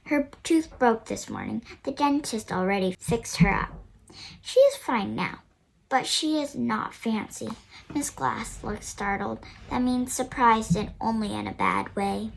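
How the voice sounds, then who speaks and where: High-voiced young girl reading a storybook. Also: animated, measured pace, gravelly, slight background noise, low-quality recording. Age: child, about nine. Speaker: young reader of a picture book. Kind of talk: storybook read-aloud narration.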